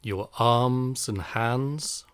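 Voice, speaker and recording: A man guiding a relaxation meditation in a calm, soothing, unhurried manner. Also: mid-range voice, clear, resonant tone, no room sound. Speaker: meditation guide.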